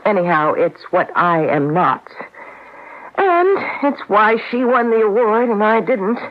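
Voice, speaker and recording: An actress plays a witty, insecure wife in a vintage radio drama. Her mid-pitched voice is very animated, moving between dry sarcasm and dramatic affection. The recording is dull and a little muffled. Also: measured pace, close to an everyday voice. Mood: sardonic, jealous. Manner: wry, dramatic, affectionate teasing. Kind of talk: old-time radio drama acting.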